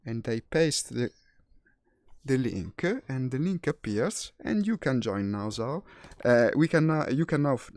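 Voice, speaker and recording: A man's voice: mid-range voice, lively intonation, unhurried, a little mellow, dry recording, studio quality. A man from Italy with an Italian accent, a streamer chatting casually and thinking aloud while playing. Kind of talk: casual gaming chat.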